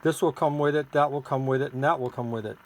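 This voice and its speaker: A man's voice: mid-range voice, steady tone, fast talker. A man giving an informal, rambling, hands-on product demonstration as the seller of the gadget. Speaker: seller demonstrating his homemade radio gadget. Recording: noisy recording with clicks.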